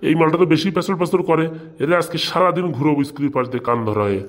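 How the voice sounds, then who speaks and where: A man plays a comic cartoon schoolteacher from the village, crude and indulgent. His voice sits a bit high for a man and tumbles out very fast, full of bluster and repeats. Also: expressive, natural voice.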